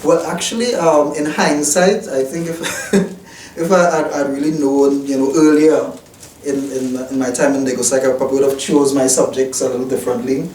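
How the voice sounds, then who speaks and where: Soft-spoken man in an echoey office. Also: medium pitch, fairly even intonation, normal speed. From Trinidad and Tobago. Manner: hesitant, many restarts and "you know" fillers. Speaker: newspaper reporter. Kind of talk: reflective interview answers. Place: newspaper office. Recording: roomy, distant mic, faint noise.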